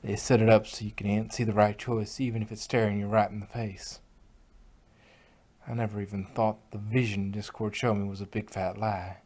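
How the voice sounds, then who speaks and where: A man's voice: on the low side, level tone, moderate pace, a little mellow, natural voice. A man voicing an honest, plain-spoken female farm pony with folksy country phrasing in a fan fiction reading. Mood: grave, confessional.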